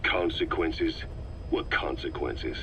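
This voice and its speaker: An actor playing a stern, suspicious American military intelligence officer in a video game drama, clipped and commanding, on a tape recording. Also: male voice, rumbling low, takes it slowly, creaky, fairly bright tone, heavily acted. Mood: suspicious, pressing. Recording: slightly muffled, noisy cassette tape recording.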